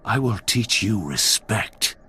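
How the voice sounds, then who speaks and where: A man playing a cold, ruthless Sith commander in a video game, with a raspy, gravelly voice and imperious, deliberate delivery. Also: lowish voice, expressive, pushed and bright, slight background noise, heavily acted. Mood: menacing. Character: cold menacing male Sith lord, a ruthless military commander.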